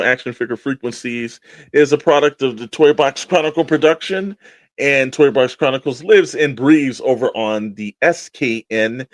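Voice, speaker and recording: A man's voice: medium pitch, no echo. A man with a middle-range voice co-hosts a podcast in an enthusiastic, informal style. He rambles through promotional chat as if talking to friends. The sound is dry and close, with very little room in it. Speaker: podcast co-host.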